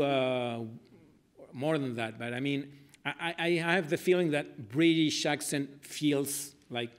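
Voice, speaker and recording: A middle-aged man talks at a lectern in a lecture room, thinking aloud as he answers questions. He circles back and restarts often, filling pauses with "uh" and "you know". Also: medium pitch. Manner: hesitant, many restarts and fillers. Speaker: speaker at an academic forum. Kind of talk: answering audience questions at a talk.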